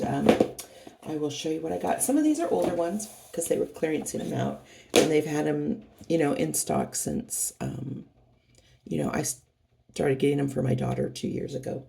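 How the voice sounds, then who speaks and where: A middle-aged woman with a hoarse voice from a cold, chatting casually and rambling as she unboxes craft kits for her channel. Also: deep voice, lively intonation, normal speed, heavy background noise. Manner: rambling, chatty, many fillers and restarts.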